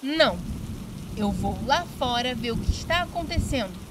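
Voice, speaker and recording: A woman's voice: fairly high pitch, lively intonation, moderate pace, noisy background, natural voice. A woman with a Brazilian accent playing a restless, headstrong duchess in a period audio drama. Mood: defiant.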